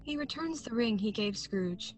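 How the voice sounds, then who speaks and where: A woman playing the measured storyteller, reading scripted narration for a radio play recorded over a video call. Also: a little high, expressive, soft background music, lightly acted.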